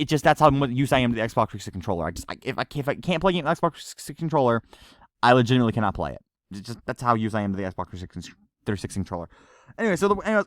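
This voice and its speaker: A man's voice: fairly high pitch, fairly bright tone, no echo, clean studio sound. A man giving an unscripted, ranting video game review, stammering and repeating himself as he rambles along.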